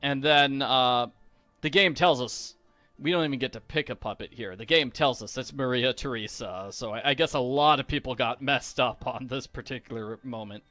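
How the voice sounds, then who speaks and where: A man's voice: medium pitch, normal speed, pushed and bright, dry recording. A man giving casual, playful walkthrough commentary on a video game, explaining each step as host. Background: music.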